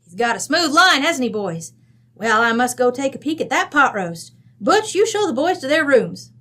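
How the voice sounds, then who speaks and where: A woman's voice: sits high, very animated, normal speed, a bit bright, full character voice. A woman playing a bossy, blunt, folksy mother from Wyoming in an old-time radio drama. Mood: brisk, bossy. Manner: brassy, folksy, chatty.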